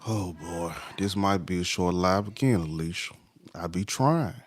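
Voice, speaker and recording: A man with a deep, low voice hosts a late-night livestream over a phone or webcam. He drifts between thoughts and greets viewers by name. His nose sounds a little stopped up. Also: animated, measured pace, a little hiss or hum. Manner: laid-back, talks to viewers by name, trails off. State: stuffy nose. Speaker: livestream host.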